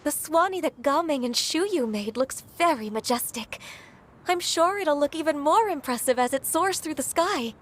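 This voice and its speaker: A very high-voiced gentle young woman. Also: expressive, normal speed, a little hiss or hum. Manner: soft-spoken, courteous. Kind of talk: game voice acting.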